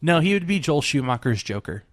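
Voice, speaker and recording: A man's voice: on the high side, big pitch swings, fairly quick, noisy background. A young man co-hosting a casual podcast chat, joking and laughing often as he talks. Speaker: podcast co-host.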